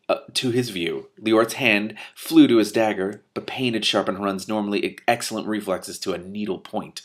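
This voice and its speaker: A man reading a fantasy story aloud expressively, stumbling and rereading at times, with off-the-cuff asides. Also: lowish voice. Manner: animated in dialogue, stumbles and rereads. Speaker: reader giving commentary on a book.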